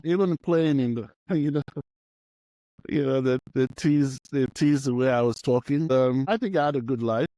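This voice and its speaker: A man in his sixties, a radio broadcaster from Nigeria, reminiscing in a relaxed conversational way, often repeating and restarting phrases. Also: mid-range voice, moderate pace, no echo, lo-fi sound. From Nigeria, childhood partly in Uganda.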